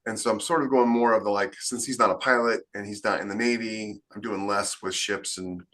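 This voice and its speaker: Bright, forceful man chatting informally. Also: on the low side, fairly even intonation, brisk. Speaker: podcast co-host and author. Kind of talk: casual chat.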